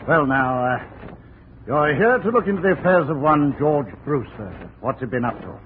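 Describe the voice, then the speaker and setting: An actor playing a polite, formal, inquisitive chief constable in a 1950s radio drama. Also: male voice, a little high, expressive, moderate pace, close to an everyday voice. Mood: courteous. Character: courteous, inquisitive male chief constable in Bermuda. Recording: tinny vintage radio, faint noise.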